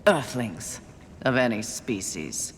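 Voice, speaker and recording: A woman's voice: rumbling low, expressive, slow pace, noisy background, full character voice. A woman voicing a stern, proud, scornful female warrior in a video game, with a curt and commanding manner. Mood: weary contempt. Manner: imperious, curt, contemptuous. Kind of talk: video game cutscene acting.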